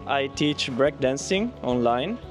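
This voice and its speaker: A young man from Italy with an Italian accent, explaining in simple phrases with "uh" pauses into a handheld mic. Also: mid-range voice, animated, soft background music. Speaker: breakdance teacher, interviewee. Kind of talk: casual interview. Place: indoor café.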